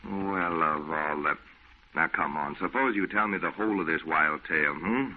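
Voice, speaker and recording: A man's voice: very deep voice, normal speed. An actor playing a skeptical, blunt, folksy man in an old radio drama, sounding exasperated. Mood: firm, exasperated. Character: skeptical, exasperated, no-nonsense man debunking a ghost. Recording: tinny, phone-like old radio recording.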